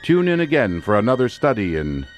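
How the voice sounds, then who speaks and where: A man announcing a radio suspense drama in a measured, dramatic, scripted style. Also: medium pitch, very animated. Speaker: announcer and actor. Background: music.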